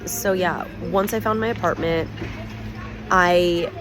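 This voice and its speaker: A woman from the United States, hoarse and raspy from bronchitis, chatting casually and digressively to camera outdoors on a street. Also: fairly low pitch, fairly even intonation, slow. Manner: chatty, digressive, thinking aloud. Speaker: expat vlogger. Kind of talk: casual vlog talk to camera. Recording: outdoor street noise behind.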